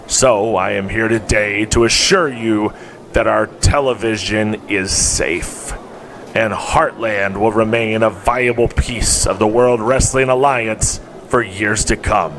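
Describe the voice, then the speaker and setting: Slow, stately retiring wrestling promoter. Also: male voice, lowish voice, steady tone, a bit bright, a little hiss or hum. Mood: proud, assured. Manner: measured, formal speech-making. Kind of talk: audio drama acting.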